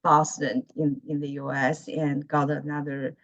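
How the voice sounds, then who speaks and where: A woman from mainland China with a Chinese accent, chatting on a video call as a guest, pausing and searching for words. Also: low-pitched, flat delivery, no room sound. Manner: halting, searching for words, laughs often. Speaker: guest, lawyer. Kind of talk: casual video-call chat. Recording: muffled video call audio.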